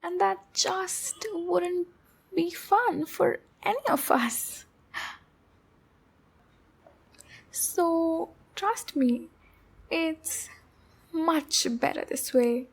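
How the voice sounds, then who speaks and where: A woman's voice: very high-pitched, gravelly, heavily acted. A woman voicing a shy, anxious, clingy demon captor in a roleplay ASMR, speaking in a hesitant, pleading and soothing way. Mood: nervous, coaxing. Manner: hesitant, halting, pleading, soothing with pet names. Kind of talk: roleplay ASMR, intimate acted monologue.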